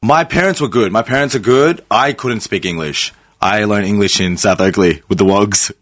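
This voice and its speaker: A man's voice: on the low side, normal speed, a bit bright, no echo, clean studio sound. A man in his twenties from Sri Lanka, a native Sinhalese speaker, chatting casually as a podcast guest, laid-back, laughing easily and trailing off mid-thought. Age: 20s. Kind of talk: casual chat. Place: podcast studio.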